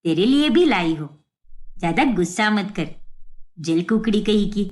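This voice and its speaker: A woman voicing a doting, teasing middle-aged mother with a fond, homely manner in an animated story. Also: mid-range voice, a little slow, voice pushed down, lightly acted. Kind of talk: animated moral story acting.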